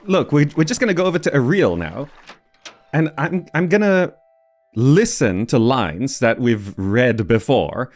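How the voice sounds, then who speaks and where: A man's voice: medium pitch, animated, a little slow, a little mellow. A man hosting a gaming video with casual, off-the-cuff commentary, often repeating words as he muses aloud.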